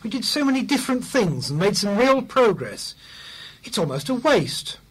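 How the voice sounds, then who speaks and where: Man as a wry, high-voiced 1920s engineer. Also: very animated, moderate pace, breathy edge, exaggerated voice, low-quality recording. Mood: regretful. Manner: wry, joking. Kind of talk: radio play acting.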